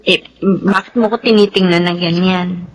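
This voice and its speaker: An actress playing a young, lovestruck daughter in a Filipino radio drama, earnest and emotional. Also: female voice, on the low side, steady tone, moderate pace, slight background noise, natural voice, rough recording. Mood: coy. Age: young adult.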